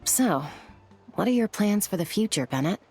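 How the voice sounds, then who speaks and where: A woman playing a calm, perceptive, gently teasing companion in an anime-style video game, composed and knowing. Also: medium pitch, normal speed, natural voice. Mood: gentle. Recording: faint music behind the voice.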